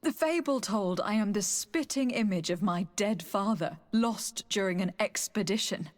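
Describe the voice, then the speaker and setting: A woman's voice: mid-range voice, normal speed, natural voice. An actress playing a resolute, caring young noblewoman in a fantasy game, speaking earnestly and formally. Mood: hurt, bewildered. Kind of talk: dramatic fantasy game acting.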